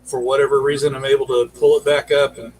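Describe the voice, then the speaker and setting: A man's voice: medium pitch, flat delivery, fast, darkish tone, low-quality recording. An older man chatting casually as a podcast guest on a video call, modest and hesitant, sounding away from the mic with faint noise. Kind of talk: casual chat.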